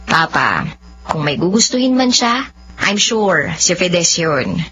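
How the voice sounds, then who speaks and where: An actress playing a friendly, candid young woman in a Filipino radio drama, with an expressive conversational delivery. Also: on the low side, slow, slightly rough, heavily acted. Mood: respectful, exasperated. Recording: dull lo-fi audio with background noise.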